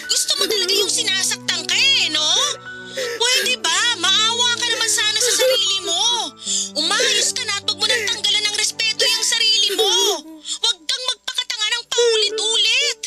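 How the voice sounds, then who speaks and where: A woman's voice: very high-pitched, normal speed, full character voice. A woman playing a protective, exasperated young best friend in a radio drama, blunt and insistent. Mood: exasperated, scolding. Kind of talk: Tagalog radio drama acting. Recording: loud music behind the voice.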